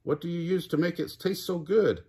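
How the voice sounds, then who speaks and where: Man voicing an enthusiastic, husky young boy. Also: a little high, moderate pace, dark tone, voice pushed up. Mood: delighted. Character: eager, curious, thirsty young boy customer.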